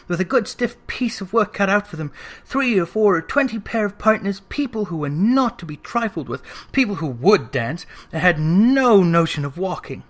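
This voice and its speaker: A narrator reading Victorian fiction aloud in an expressive, theatrical storytelling manner. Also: medium pitch. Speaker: audiobook reader.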